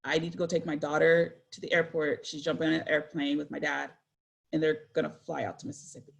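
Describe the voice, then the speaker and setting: A woman's voice: low-pitched, flat delivery, quick pace, creaky. A woman in her forties telling personal stories in a candid, earnest way, with frequent fillers and self-corrections. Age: 40s. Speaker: guest speaker.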